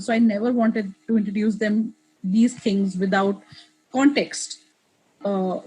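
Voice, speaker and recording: A woman's voice: fairly high pitch, a little mellow, slightly rough, rough recording. A woman in her thirties, a guest in an interview, talking in a reflective way with many restarts and asides. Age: 30s. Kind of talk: casual interview conversation.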